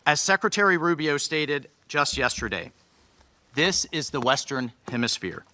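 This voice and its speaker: A man delivering a formal prepared speech at a measured pace, reading from a text. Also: a little high, big pitch swings, pushed and bright, slight background noise. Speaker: official giving a speech.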